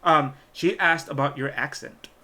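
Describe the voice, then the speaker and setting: Man, stream host, bright tense voice, hesitant, reads viewer questions. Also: fairly high pitch, unhurried. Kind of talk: casual live-stream chat.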